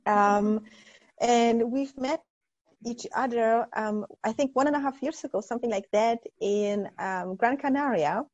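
A woman's voice: a little high, measured pace, a little mellow, dull audio. A woman hosting a podcast interview, talking in an effusive, enthusiastic motivational way. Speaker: podcast host.

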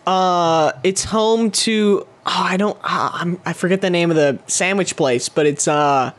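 A man's voice: high voice, unhurried, a bit bright. A young man co-hosting a podcast, playful in casual chat and laughing often. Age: young adult. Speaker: podcast co-host.

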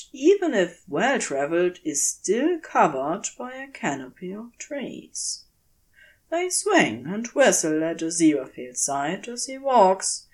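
A woman reading scripted fiction aloud as an audiobook narrator, in a gentle, expressive, measured storytelling manner. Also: mid-range voice. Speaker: audiobook reader of fan fiction.

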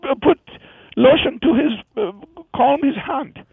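A man doing a high rasping Indian woman. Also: no room sound, exaggerated voice. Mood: rambling. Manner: halting, broken English with many fillers. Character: woman amputee from India with transplanted hands. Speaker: phone-in comedy caller. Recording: tinny phone line.

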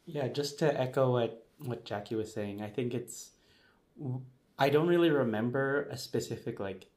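A man, a guest in an interview, speaking in a relaxed, casual way with frequent filler words. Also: medium pitch, normal speed. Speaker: interview guest, former seminar student.

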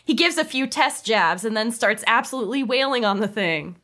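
Female narrator, highish pitch, bright, lively intonation, amused, comedic table read. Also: brisk. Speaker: narrator reading stage directions.

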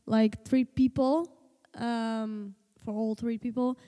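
A woman's voice: a little high, fairly even intonation, slow, mellow, big-room sound. A teenage girl from Slovenia, a native Slovenian speaker, chatting casually and hesitantly in an interview with many fillers and restarts. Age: teens. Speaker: guest, host's daughter.

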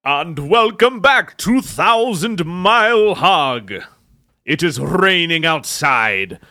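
A man co-hosting a podcast, chatting casually with deadpan jokes. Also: a little high, takes it slowly. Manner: deadpan joking. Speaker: podcast co-host. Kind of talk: casual chat.

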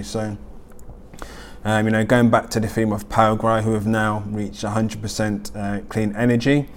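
Man hosting a halting news roundup. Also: on the low side, a little mellow. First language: not German. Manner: hesitant, many ums and uhs. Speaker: host. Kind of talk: weekly news roundup vlog.

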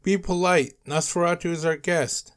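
A man's voice: mid-range voice, moderate pace, a little mellow, close to an everyday voice. A man voicing a polite, long-suffering crocodile caretaker in a story, worried and pleading. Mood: urgent yelling.

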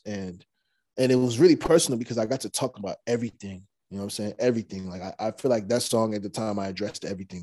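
Mellow-voiced young rapper telling a story. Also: male voice, lowish voice, husky, no room sound. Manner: relaxed, rambling, many fillers and restarts. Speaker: rapper guest. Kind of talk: casual storytelling interview.

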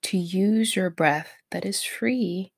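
A woman leading a guided meditation in a soft, breathy, near-whispered voice, gentle and encouraging toward the listener. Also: mid-range voice, takes it slowly. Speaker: meditation guide, podcast host.

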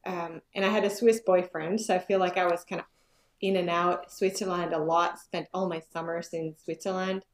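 A woman's voice: fairly low pitch, steady tone, moderate pace. A woman in her forties from Finland, a yoga business owner, talking casually as an interview guest and thinking aloud with many fillers. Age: 40s. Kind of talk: casual conversation. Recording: echoey room.

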